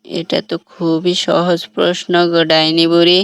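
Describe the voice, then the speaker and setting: A man's voice: a little high, completely flat delivery, normal speed, creaky, a bit bright, clear, resonant tone, no echo. A man voicing a humble village man in a cartoon, with a pleading, folksy way of talking. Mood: eager.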